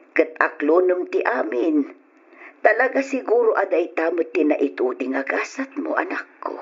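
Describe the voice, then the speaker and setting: An actress playing a caring elderly mother in an old-style radio drama, consoling and counselling her grown son with gentle sighs. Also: on the low side, husky, heavily acted. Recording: dull lo-fi radio drama recording.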